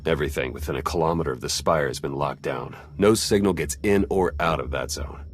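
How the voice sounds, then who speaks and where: A man's voice: extremely low pitch, fairly quick, soft background music. A man playing a tired, terse intelligence operative in a video game. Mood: grave. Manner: terse, matter-of-fact.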